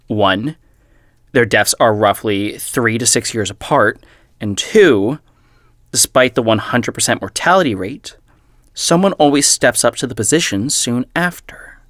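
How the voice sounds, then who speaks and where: An actor playing an inquisitive podcaster who investigates weird history in an audio drama, speaking in a serious, probing way. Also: male voice, medium pitch, a bit bright, dry recording, clean studio sound.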